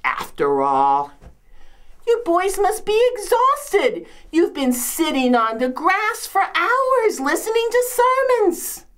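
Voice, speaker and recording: A woman's voice: medium pitch, big pitch swings, slow. A woman playing a complaining, martyred old mother-in-law from biblical times in a comedic monologue, with a New York accent and theatrical delivery. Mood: martyred sarcasm. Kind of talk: comedic dramatic monologue, performed.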